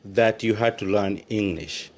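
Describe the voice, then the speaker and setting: Low-voiced male radio interviewer. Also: a little slow. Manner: conversational, encouraging with short acknowledgements. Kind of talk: interview questions.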